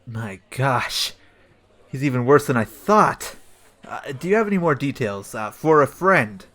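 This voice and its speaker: A man playing an awkward, joke-prone police detective in a comedic audio play, hesitant and deadpan as he rambles. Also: mid-range voice, a little slow. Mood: flustered.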